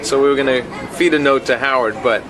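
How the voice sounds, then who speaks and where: A man's voice: medium pitch, quick pace, background noise. A man who works on a radio show, talking casually as he explains and banters.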